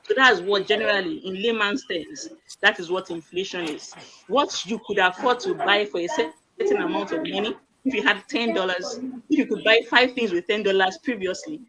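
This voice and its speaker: A woman's voice: medium pitch, normal speed, a crowd in the background, low-quality recording. A woman, a doctor on a live online panel, explaining plainly and repeating key phrases. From Nigeria. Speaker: medical doctor, panel guest.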